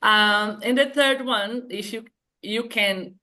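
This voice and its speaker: A woman's voice: fairly high pitch, slow, sharp tone. Brazilian woman in her fifties. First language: Portuguese. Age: 50s. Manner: hesitant, explains step by step. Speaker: guest. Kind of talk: casual online interview.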